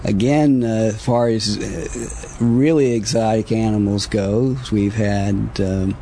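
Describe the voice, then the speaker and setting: A man answering an interview as a facility veterinarian, explaining things in a formal, careful and somewhat halting way. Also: on the low side, fairly even intonation, mellow, a little hiss or hum.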